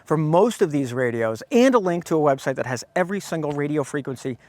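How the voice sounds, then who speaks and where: A man's voice: a little high, expressive, fast, darkish tone. An American man hosting a product review, speaking to viewers in a blunt, opinionated way. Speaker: host of a radio review.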